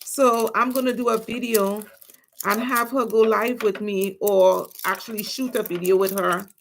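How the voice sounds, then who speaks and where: A woman's voice: mid-range voice, fairly even intonation, normal speed, gravelly, slight background noise, low-quality recording. A woman with a Trinidadian accent chatting casually to her viewers on a livestream while she does her nails. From Trinidad and Tobago. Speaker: nail technician vlogger.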